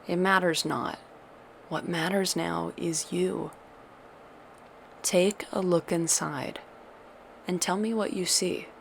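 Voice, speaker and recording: Woman acting as doting witch girlfriend, slightly low voice, very unhurried, soothing old-fashioned endearments, ASMR roleplay, faint background noise. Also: gravelly. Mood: gentle questioning. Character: tender, doting witch girlfriend comforting her monster lover. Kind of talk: ASMR comfort audio roleplay.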